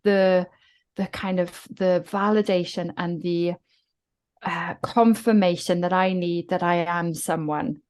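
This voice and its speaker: Lower-voiced Welsh woman. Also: fairly even intonation, slow pace, a little mellow. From South Wales. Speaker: guest author. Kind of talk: podcast interview.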